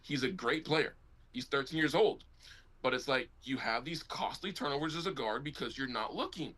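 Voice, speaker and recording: A man co-hosting a casual sports talk show, telling rambling, animated stories about coaching kids, loud when he gets excited. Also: medium pitch, moderate pace. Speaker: podcast co-host and youth basketball coach.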